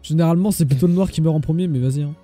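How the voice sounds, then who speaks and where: A man's voice: fairly high pitch, rapid-fire, faint music behind. A man with a cold, joking and teasing as he chats with friends during a game. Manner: joking, teasing, hushes others. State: has a cold. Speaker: game streamer. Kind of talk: casual gaming chat with friends.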